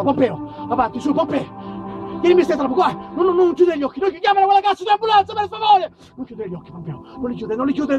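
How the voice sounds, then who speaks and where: A desperate young man pleads with his dying friend, performed by a male actor. The voice is very high and rapid, urgently repeating itself over clear background music. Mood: panicked pleading.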